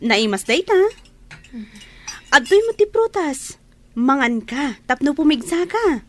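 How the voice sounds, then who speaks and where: High-pitched caring young woman. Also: very animated, takes it slowly, a little hiss or hum, exaggerated voice. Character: caring, attentive younger woman looking after the sick. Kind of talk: Ilocano radio drama acting.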